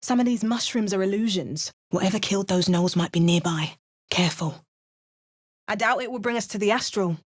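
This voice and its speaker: A woman's voice: mid-range voice, fairly quick, no room sound. A woman delivering a game hero's lines with dry, understated wit and matter-of-fact narration. Her voice sits mid-range and sounds close and dead-dry, with no room around it. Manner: wry, understated asides and narration. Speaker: video game player character.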